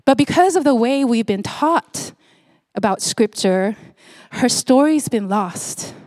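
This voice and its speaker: A woman's voice: a little high, expressive, takes it slowly, darkish tone, no room sound. A woman from Singapore, around her forties, preaching a sermon in a conversational way with frequent fillers and restarts. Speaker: pastor.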